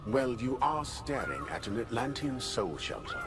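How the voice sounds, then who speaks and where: An actor playing the ancient soul of a haughty court mage in a video game, speaking formally and with archaic condescension. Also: male voice, medium pitch, sing-song, moderate pace, over loud music, lightly acted. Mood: haughty, solemn.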